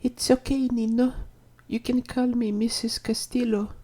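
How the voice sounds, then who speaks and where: A woman voicing a caring middle-aged ankylosaur mother in an audio drama, gently spoken with a soft husky voice. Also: fairly high pitch, flat delivery, unhurried. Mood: warm, reassuring. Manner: gentle, mixes in Spanish endearments.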